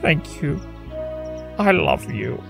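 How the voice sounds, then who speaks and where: A man playing a remorseful, lovestruck male trickster spirit in an audio drama, speaking in a halting, earnest way, with music under the voice. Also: on the high side, wildly swooping pitch, gravelly, exaggerated voice. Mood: tender, self-doubting. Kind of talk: fan audio drama acting.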